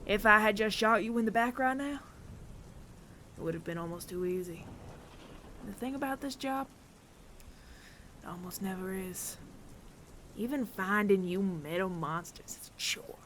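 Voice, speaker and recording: A man playing a menacing, world-weary bounty hunter of androids in an ASMR audio roleplay, taunting the listener with deliberate pauses and often sinking to a near whisper. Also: high-pitched, bright, forceful tone, slight background noise. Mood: cold, gloating. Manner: slow, deliberate, taunting, with long dramatic pauses.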